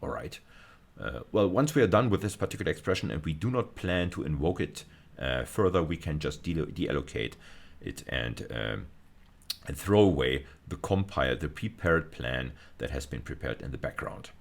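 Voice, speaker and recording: A middle-aged man with an extremely deep voice delivers a technical screencast lecture. He explains step by step in a halting way, thinking aloud and rephrasing himself. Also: expressive, vocal fry. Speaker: university lecturer.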